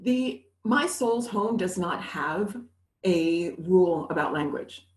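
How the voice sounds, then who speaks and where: A woman's voice: on the low side, takes it slowly. Candid New woman, roomy echo. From New York City. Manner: candid, animated, laughs easily. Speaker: guest. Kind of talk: conversational interview. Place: living in Montreal.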